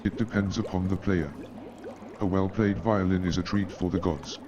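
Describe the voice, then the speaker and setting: A man playing a polite, reserved gentleman in a staged radio play, measured and formal in his speech. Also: sits low, fairly even intonation, a crowd in the background, lightly acted. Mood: amiable, dry. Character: polite, reserved gentleman with shaken nerves, seeking lodgings. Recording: muffled and noisy, watery background sound.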